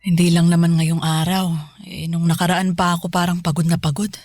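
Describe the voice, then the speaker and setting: Actress as tired middle-aged Filipino mom, doting, homely, lower-pitched voice, dry close sound, radio drama. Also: female voice, little pitch movement, brisk, darkish tone, no echo, natural voice. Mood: weary, caring. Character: caring, tired middle-aged working mother of grown daughter.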